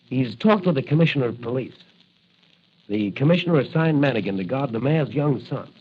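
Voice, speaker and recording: An actor playing an earnest, resolute young cop who fights crime in a mask, in deliberate, declamatory old radio style. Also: male voice, mid-range voice, expressive, breathy edge. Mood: grave, resolute. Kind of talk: 1940s radio adventure acting. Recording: muffled vintage radio recording.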